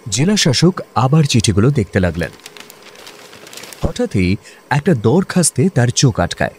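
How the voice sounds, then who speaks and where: Guy narrating a radio drama, mid pitch, super expressive swings, faint noise behind him. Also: a little slow. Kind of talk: scripted dramatic story narration.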